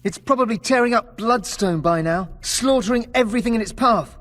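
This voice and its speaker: A man playing a panicky, cowardly, bickering young character in a fantasy video game, whiny and excitable. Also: extremely high pitch, fairly quick. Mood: uneasy, bickering.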